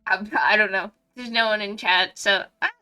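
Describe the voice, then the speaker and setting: A young woman streams a game with a bright, forward voice that is clearly congested. Her talk is casual and scattered, full of little asides to herself. Music plays faintly in the background. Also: medium pitch, steady tone, normal speed. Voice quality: stuffy, congested nose. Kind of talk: casual live stream chat while playing a game.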